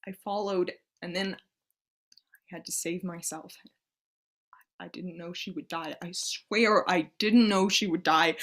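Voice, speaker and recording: An actress playing an anguished, devout woman who pleads with and challenges God in halting, impassioned speech, in a staged play reading. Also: lowish voice. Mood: grieving, confessing. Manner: halting, impassioned, swinging between hushed and pleading.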